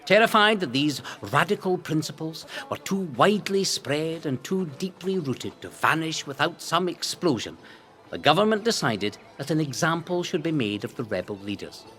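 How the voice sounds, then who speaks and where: A man reading scripted historical narration in a measured, dramatic storytelling manner with emphatic phrasing. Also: on the high side, very animated, a bit bright. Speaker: narrator of a local history series. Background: music bed.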